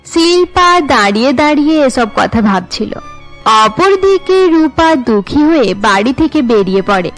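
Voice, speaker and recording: A woman narrates a cartoon story from a script. Her voice sits high, and faint music plays behind it. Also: very animated, measured pace.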